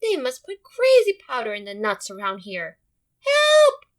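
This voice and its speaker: High-pitched, panicky storybook dog. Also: female voice, huge pitch swings, measured pace, exaggerated voice. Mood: panicked. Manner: panicky, exclaiming. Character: nosy, alarmed dog spooked by a crazy squirrel. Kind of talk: children's storybook character voice.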